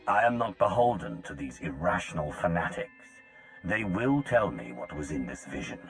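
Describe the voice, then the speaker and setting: A haughty commander in a game cutscene, deep-voiced and demanding. His pitch swings widely as he talks, proud and impatient. Faint music sits behind him. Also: big pitch swings, moderate pace, gravelly, full character voice. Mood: scornful, impatient. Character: proud, impatient, commanding man of high status. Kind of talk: video game cutscene dialogue.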